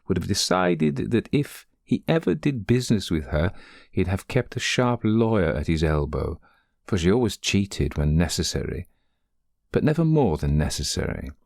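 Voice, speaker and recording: Male storyteller for gothic horror: low, creaky voice, deliberate and literary delivery. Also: darkish tone, no echo, clean studio sound. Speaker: narrator. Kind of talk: dramatic ghost story narration.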